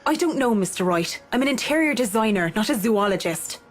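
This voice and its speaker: An actress playing a polite but frayed professional woman in a visual novel, speaking in a formal, measured way. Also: mid-range voice, animated, close to an everyday voice. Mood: polite, businesslike. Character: Marianne, polite but frayed female interior designer. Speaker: interior designer character. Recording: music under the voice.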